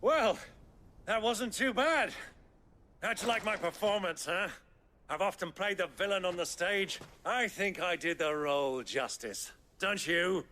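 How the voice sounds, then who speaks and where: A man's voice: sits high, a little slow, bright, forceful tone, a little hiss or hum. An actor playing a crafty, hammy old man in a video game, with a wry, theatrical way of talking. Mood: pleased, boastful. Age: older. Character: crafty, hammy old man posing as a shady crystal buyer.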